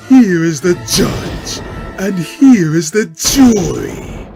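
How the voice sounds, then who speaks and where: A brash, menacing cartoon tough-guy cat, performed by a man. He delivers stilted, pompous boasts with animated intonation over clear background music. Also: high-pitched, extremely slow, voice pushed up, heavily acted. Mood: cold authority. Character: brash, macho male cartoon cat warrior, coolly menacing. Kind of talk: comic dramatic reading for web animation.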